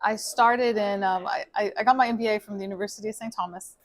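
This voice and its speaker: A middle-aged woman guest, from Cuba and raised in Texas, talks through her story in a relaxed interview. She pauses on fillers and restarts her sentences often. Her voice sits at a medium pitch. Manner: chatty, many ums and restarts, laughs easily. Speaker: guest, media company founder. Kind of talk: casual interview chat.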